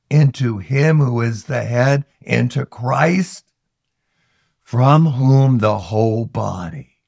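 A man gives a solemn reading of scripture at a very unhurried pace. His voice has a gravelly creak, and he declaims each phrase deliberately with dramatic pauses. The sound is dry, with no room echo. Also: medium pitch, darkish tone. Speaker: scripture reader.

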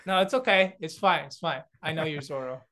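A man's voice: fairly high pitch, a little fast. A young man from South Korea, a comedian guest chatting casually and acting out little voices. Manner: animated, many restarts and fillers, acts out voices. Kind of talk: casual chat.

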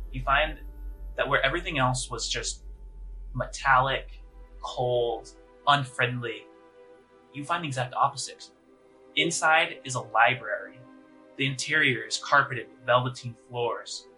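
A man working as game master, narrating a tabletop game descriptively and pausing as he finds his words. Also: medium pitch, sharp tone, low-quality recording.

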